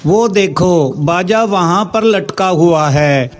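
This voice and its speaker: A man's voice: sits high, takes it slowly. A man voicing a dutiful village servant and crier in a children's cartoon story. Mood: alert.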